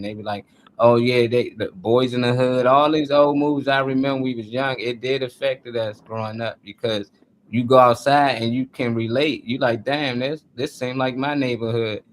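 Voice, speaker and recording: Male talk show host, middle pitch, informal and colloquial, chatting casually and telling it like a story. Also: low-quality recording. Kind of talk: casual chat, live show discussion.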